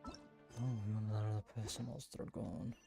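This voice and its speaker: A man's voice: fairly low pitch, little pitch movement, normal speed, creaky. A man giving casual, offhand commentary while playing a game. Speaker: gamer. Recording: game music behind the voice.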